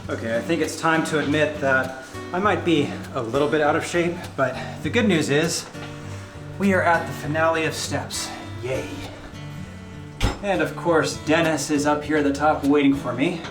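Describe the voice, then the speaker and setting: A man's voice: on the high side, normal speed. A man hosting a guided tour documentary, presenting to camera in a chatty, playful way. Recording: echoey hall reverb, music behind.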